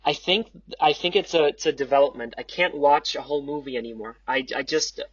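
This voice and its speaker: A man's voice: fairly high pitch, fairly quick, husky, no room sound. A man chatting casually on a podcast with a dry, tongue-in-cheek sense of humour. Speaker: podcast participant. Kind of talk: casual chat. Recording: slightly dull podcast recording.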